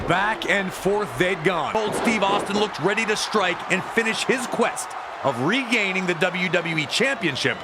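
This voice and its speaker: A man's voice: sits high, animated, normal speed, heavy background noise. A man giving dramatic, emphatic scripted narration of wrestling matches.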